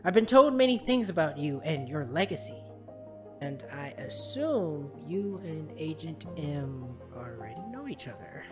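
A man's voice: a little high, sing-song, measured pace, over music. A man voicing a polite, earnest pony agent with formal, courteous delivery in an audio drama.

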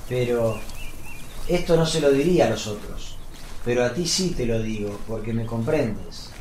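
A male actor plays a devout, timid apostle in a religious radio play. He speaks in a humble, hushed, earnest way at a middling pitch. The recording is roomy, with some background noise. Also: natural voice. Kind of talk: religious radio drama acting.

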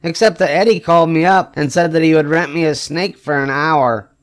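An older man reading a children's picture book aloud expressively, with emphatic phrasing and drawn-out wry punchlines. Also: on the high side. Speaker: storybook reader.

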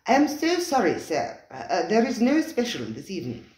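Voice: mid-range voice, huge pitch swings, normal speed, darkish tone, echoey room. A reader voicing a polite, regretful male waiter in a storybook, with formal deference.

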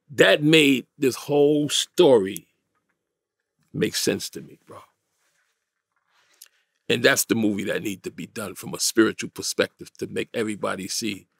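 A man in his fifties with a gravelly voice and a New York accent, telling a story in slangy, emphatic, streetwise interview talk. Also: medium pitch, fairly bright tone, dry recording, clean studio sound. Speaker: interview guest. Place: small indoor recording studio, dry sound.

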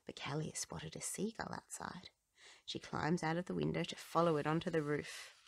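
A woman reading a children's storybook aloud with expressive, dramatic phrasing. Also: sits low, moderate pace, vocal fry, whispered. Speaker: children's book reader.

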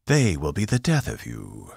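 A man's voice: fairly low pitch, very animated, normal speed, creaky, breathy edge, no room sound, clean studio sound, voice pushed up, heavily acted. A man voicing a wary, imperious female alien priestess who speaks sharply and formally. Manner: sharp, commanding, archaic.

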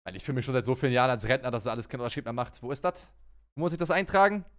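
A man's voice: medium pitch, very fast, fairly bright tone. A man in his twenties streaming a video game from his room on a headset, chatting casually and thanking viewers. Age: 20s. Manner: chatty, self-mocking, reads out chat and thanks subs. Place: home gaming room.

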